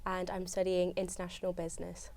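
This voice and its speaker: A young woman from Surrey, a postgraduate student giving steady, plain-spoken answers on a panel. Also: on the low side, fast talker. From Surrey, England.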